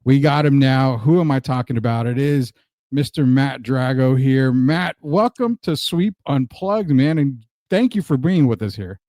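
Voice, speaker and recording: A man's voice: mid-range voice, animated, unhurried, mellow, dry recording. An American man hosting a podcast, chatting through promotional patter and introducing his guest in an upbeat interview style. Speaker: podcast host.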